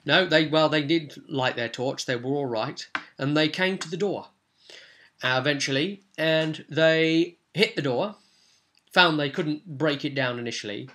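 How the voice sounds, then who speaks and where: Bright-voiced man, chatty gaming vlogger. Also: on the high side, measured pace. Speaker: tabletop gaming vlogger, game master. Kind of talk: informal walkthrough commentary.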